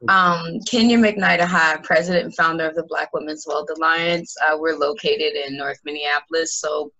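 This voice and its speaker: A woman's voice: low voice, fairly even intonation, normal speed, bright, forceful tone, muffled recording. A woman from Illinois, founder of a nonprofit, speaking on a webinar panel, loose and chatty with many fillers. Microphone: video call.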